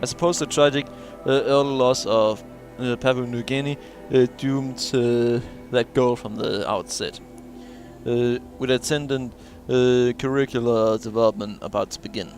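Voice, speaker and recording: A man's voice: medium pitch, fairly even intonation, normal speed, darkish tone. A man playing through a video game, reading in-game logs aloud and stumbling over words, with many "uh" fillers and small chuckles. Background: faint game music.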